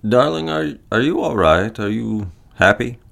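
A man playing a gravelly, doting older father. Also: mid-range voice, animated, a little slow, a bit bright, heavily acted. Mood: concerned, loving. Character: gentle, doting older father, tenderly concerned. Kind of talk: period audio drama acting.

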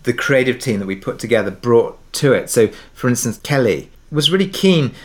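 A man's voice: medium pitch, expressive, normal speed. A middle-aged British man talking reflectively as an interview guest, hesitant and thoughtful, often circling back to rephrase. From Britain, partly raised abroad in Kuwait. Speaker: interview guest, audio drama creator.

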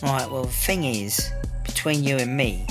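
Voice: mid-range voice, measured pace, close to an everyday voice. A performer playing a weary, wry British woman inmate in a comedy audio drama, speaking colloquially and a little hesitantly. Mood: uneasy, exasperated. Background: music.

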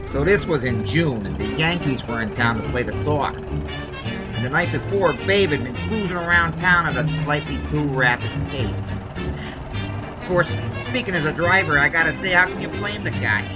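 An actor playing a talkative, folksy 1920s Chicago cab driver in an audio drama, with an American accent and a slangy, rambling way of telling a story. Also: male voice, a little high, lively intonation, moderate pace, loud music behind the voice, natural voice, low-quality recording. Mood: excited storytelling. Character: talkative 1920s Chicago cabbie, folksy baseball-loving yarn spinner.

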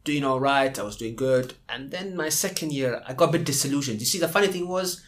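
A man's voice: medium pitch, a little fast. A young man from Abu Dhabi with an Indian-tinged English accent, telling his story in a casual interview, rambling with many restarts. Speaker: guest.

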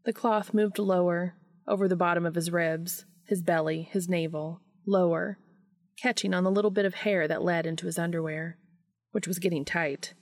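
A woman narrating fan fiction in an expressive audiobook style. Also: fairly low pitch, moderate pace.

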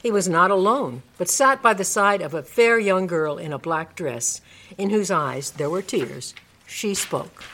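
A woman's voice: on the low side, lively intonation, measured pace, a bit bright, a little hiss or hum. A woman reading scripted storybook narration as the narrator of a radio drama.

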